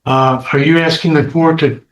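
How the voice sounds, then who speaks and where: A man's voice: a little high, steady tone, normal speed, dull audio. A male defense attorney questioning a witness in a courtroom. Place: indoor courtroom. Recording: echoey courtroom sound.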